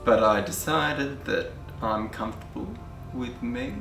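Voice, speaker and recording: A man's voice: mid-range voice, a little slow, vocal fry. A man with an Australian accent speaking candidly in a documentary interview. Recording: distant, echoey, with music behind.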